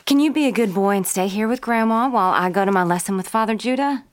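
A woman's voice: medium pitch, fast, close to an everyday voice. A woman playing a headstrong, folksy 1930s young mother with a Southern US accent in an old-time radio drama. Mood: brisk.

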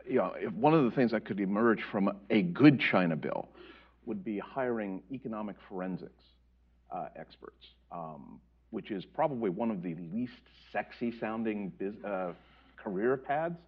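Animated low-voiced male panelist. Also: normal speed, husky. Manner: animated, explanatory. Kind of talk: panel discussion. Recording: dull lo-fi audio.

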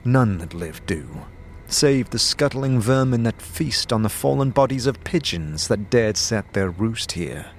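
A man narrating a scripted fantasy audio drama in a measured, dramatic storytelling manner. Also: lowish voice, animated, slight background noise.

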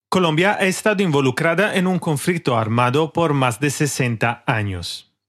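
An Italian man, speaking with his accent, reads a calm, reflective podcast introduction. His voice sits at a middle pitch and he takes his time between phrases. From Italy. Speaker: podcast host. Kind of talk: scripted podcast introduction and interview.

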